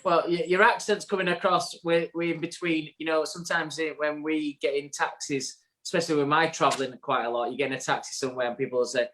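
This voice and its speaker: A man's voice: on the high side, fairly even intonation, quick pace, hardly any pauses, sharp tone, poor audio. A man from England with a Yorkshire accent, co-hosting a podcast and asking chatty questions. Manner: chatty, friendly questioning with repeated words. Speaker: podcast co-host. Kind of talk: casual podcast interview.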